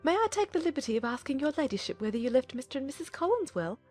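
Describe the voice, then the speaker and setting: A woman's voice: high voice, very animated, rapid-fire, a little mellow, faint music behind. A woman playing a gushing, eager-to-please middle-aged mother in an audiobook drama, chatty and fawningly polite. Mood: eager, flattering.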